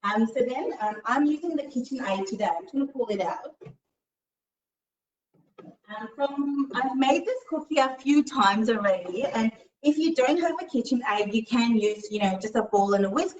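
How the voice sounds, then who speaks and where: A woman's voice: fairly high pitch, animated, moderate pace, a little mellow, dull audio. Young woman showing a recipe, lots of ums, kitchen sounds roomy and far off. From Australia. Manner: explanatory, with frequent "um" fillers. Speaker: cooking show presenter. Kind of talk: casual cooking demonstration. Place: home kitchen. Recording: roomy, distant mic.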